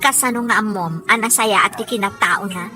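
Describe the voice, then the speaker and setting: A woman's voice: medium pitch, a little fast, music in the background, close to an everyday voice. A woman acting a scolding, skeptical friend in an audio drama. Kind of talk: acted dialogue in an audio drama.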